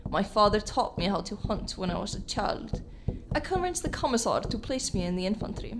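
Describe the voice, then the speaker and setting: A stern young Soviet woman lieutenant played by an actress in a radio play, resolute and formal in every phrase. Her mid-pitched voice sits in a clearly noisy amateur recording. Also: natural voice. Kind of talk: radio drama acting.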